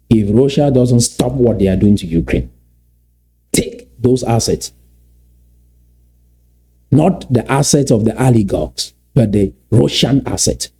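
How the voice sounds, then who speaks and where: Middle-aged man, Cameroonian English accent, warm low timbre, lecturing commentator who poses rhetorical questions. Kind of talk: commentary on played clips.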